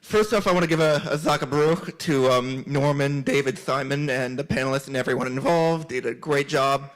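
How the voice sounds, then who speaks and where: A man in the audience with a loud voice, speaking earnestly. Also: mid-range voice, a little fast, poor audio. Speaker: audience member.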